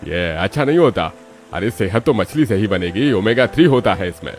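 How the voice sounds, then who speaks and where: A man's voice: fairly low pitch, animated, moderate pace, faint music behind. A man playing a hearty middle-aged father-in-law in a Hindi cartoon story. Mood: nagging.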